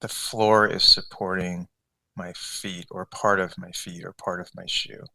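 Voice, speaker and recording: A man leading a guided meditation as a coach, giving unscripted, conversational instructions step by step with gentle repetition. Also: sits low, level tone, measured pace, gravelly, rough recording.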